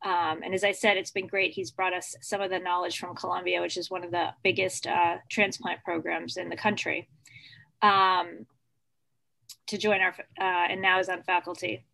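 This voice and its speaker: A woman introducing a guest lecturer at a hospital grand rounds session, halting with frequent ums. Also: fairly low pitch, level tone, gravelly, pushed and bright. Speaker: host introducing the speaker.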